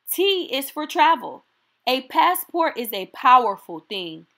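A woman reading a children's picture book aloud as a storytime host, in an expressive, deliberate and encouraging way. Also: fairly high pitch.

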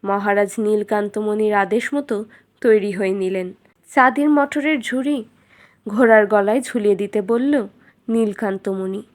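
A woman's voice: medium pitch, moderate pace. A woman reading scripted narration as the storyteller of a children's fairytale.

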